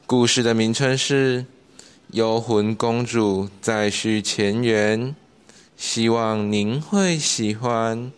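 A man's voice: lowish voice, slow, clear, resonant tone. A teenage male student announcing a school radio drama in a scripted introduction.

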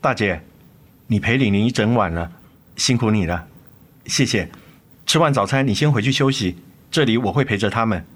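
A man playing an earnest, repentant father in a radio drama, gentle and reassuring. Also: on the low side, moderate pace, pauses often, natural voice.

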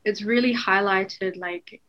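A young woman from New Zealand, a guest chatting casually on a podcast, relaxed and full of ums. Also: mid-range voice, takes it slowly, highs cut off.

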